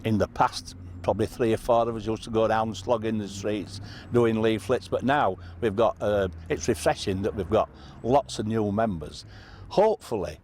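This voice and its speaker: Male party stalwart interviewed, lowish pitch, slight background noise. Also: moderate pace, darkish tone. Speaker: long-standing party member. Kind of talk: interview answers.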